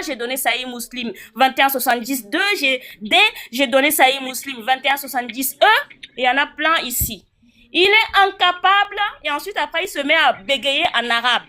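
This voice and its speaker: A woman's voice: high voice, sharp tone. A woman from Chad, arguing assertively in a live debate and firing off citations. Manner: assertive, rapid-fire citing of sources. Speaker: debate participant.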